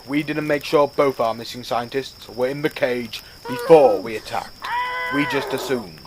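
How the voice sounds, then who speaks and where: A man's voice: mid-range voice, noisy background, close to an everyday voice. A man playing an earnest, authoritative rabbit starship captain in a sci-fi audio drama. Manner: authoritative, solemn. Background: music.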